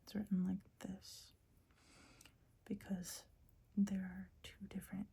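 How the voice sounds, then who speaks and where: Breathy woman, slow ASMR-style whispered lesson, dark and mellow tone. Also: mid-range voice, monotone. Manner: whispering, hesitant, searching for words. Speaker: teacher.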